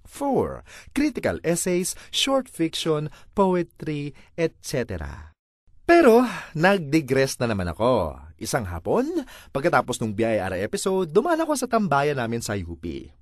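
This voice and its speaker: A man's voice: fairly high pitch, huge pitch swings, a little slow, no echo, clean studio sound. A man with a Filipino accent reading a first-person comic monologue in a theatrical, exasperated way. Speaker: voice-over reader of a comic monologue.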